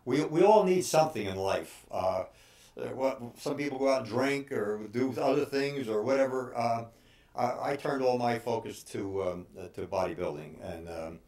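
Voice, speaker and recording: A man in his sixties giving informal advice as a personal trainer, speaking haltingly with frequent pauses. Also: medium pitch, expressive, darkish tone. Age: 60s. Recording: roomy, echoing room sound.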